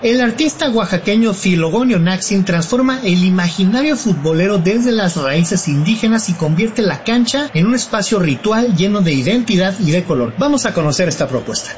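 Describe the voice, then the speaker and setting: Woman presenter, clear scripted intro, dull lo-fi sound. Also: medium pitch, expressive, moderate pace, hardly any pauses, darkish tone, a little hiss or hum.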